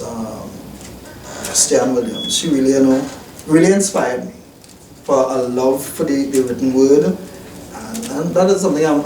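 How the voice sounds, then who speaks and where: Soft-spoken man in an echoey office. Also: medium pitch. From Trinidad and Tobago. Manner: hesitant, many restarts and "you know" fillers. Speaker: newspaper reporter. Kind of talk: reflective interview answers. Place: newspaper office. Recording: roomy, distant mic, faint noise.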